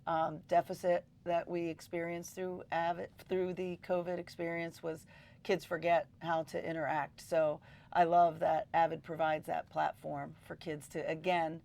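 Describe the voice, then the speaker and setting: A middle-aged woman teacher with a low, gravelly voice. She talks in an interview about her students with an upbeat, enthusiastic manner and many little ums. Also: little pitch movement, normal speed, darkish tone. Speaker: high school teacher.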